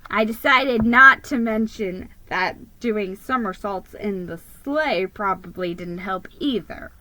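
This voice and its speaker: A woman giving a theatrical, expressive reading of a children's Christmas story. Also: mid-range voice, slow, rough recording. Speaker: storybook reader.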